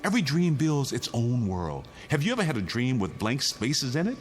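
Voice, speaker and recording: A man's voice: medium pitch, big pitch swings, moderate pace, faint music behind, lightly acted. An actor playing a weary, insistent condemned man pleading his case in a radio drama. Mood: agitated, arguing. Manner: insistent, pleading, arguing in rhetorical questions. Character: condemned male prisoner insisting the world is his nightmare, weary, urgent.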